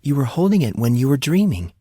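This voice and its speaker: A man voicing a calm, assertive teenage girl with a collected but demanding manner, in an audiobook reading. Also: mid-range voice, quick pace, husky, no echo, studio quality, voice pushed up.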